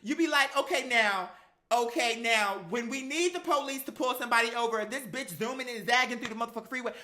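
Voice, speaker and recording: A woman's voice: on the high side, fairly quick, pushed and bright. A woman chatting casually and telling gossipy stories in a sassy, profane, emphatic and dramatic manner. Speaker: online talk show host. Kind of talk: casual gossip commentary, storytelling.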